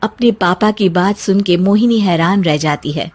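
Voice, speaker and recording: A woman's voice: mid-range voice, normal speed, a little mellow. A woman narrating a scripted cartoon story as its storyteller.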